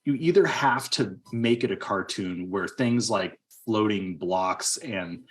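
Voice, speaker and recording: A man's voice: on the low side, slow pace, a bit bright. A man co-hosting a podcast, discussing movies casually in an emphatic, argumentative way. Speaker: podcast co-host.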